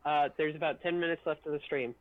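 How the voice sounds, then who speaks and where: A man's voice: on the high side, steady tone, fast talker, a loud crowd. A man chatting casually on a group livestream, explaining things to the others. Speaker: stream co-host. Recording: dull, lo-fi voice chat audio.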